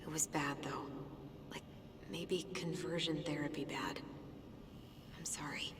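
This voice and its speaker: A woman's voice: sits low, fairly even intonation, a little slow, reverberant, big-room sound, noisy background. A woman playing a guarded, skeptical young woman in a naturalistic video game cutscene. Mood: cynical, subdued.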